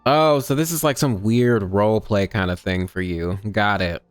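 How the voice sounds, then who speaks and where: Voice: low voice, moderate pace, soft background music. A game player giving casual, informal and wry playthrough commentary with some swearing.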